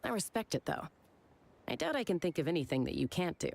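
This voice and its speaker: A woman's voice: lowish voice, big pitch swings, fast talker, gravelly, a little mellow, heavily acted. An actress playing a cool, laconic female scout in an anime game, laid-back and understated. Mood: wry, respectful.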